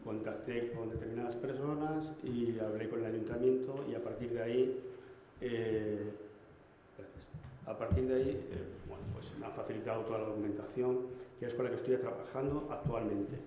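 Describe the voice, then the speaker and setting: A man from Madrid giving a public lecture, measured and hesitant, with fillers. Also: medium pitch, fairly even intonation, slight background noise. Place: municipal hall. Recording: echoey hall, lo-fi.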